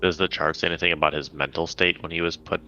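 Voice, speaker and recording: A man's voice: low voice, little pitch movement, quick pace, bright, forceful tone, soft background music. A man talking casually as a player in a tabletop roleplaying game, asking questions and stating his moves. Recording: slightly dull audio, faint background noise.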